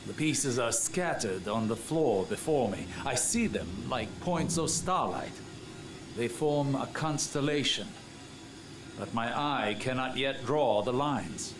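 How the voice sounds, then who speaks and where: A man playing a haunted, watchful strategist in a game cutscene, speaking gravely and deliberately in poetic monologue. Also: medium pitch, lively intonation, noisy background. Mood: brooding. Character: brooding male seer and strategist, haunted, watchful.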